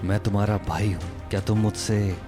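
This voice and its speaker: An actor playing a smug, spiteful rich cousin in an audio drama, menacing and mocking under a false gentleness. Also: male voice, fairly low pitch, steady tone, normal speed, natural voice. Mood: falsely conciliatory. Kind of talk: Hindi audio drama acting. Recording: dramatic music behind the voice.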